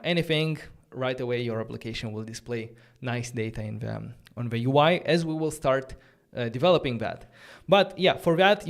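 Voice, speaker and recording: A man whose first language is Romanian teaches a coding tutorial on a live stream, thinking aloud in a casual way with many fillers and restarts, and stops to answer viewers' chat questions. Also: medium pitch. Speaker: coding instructor.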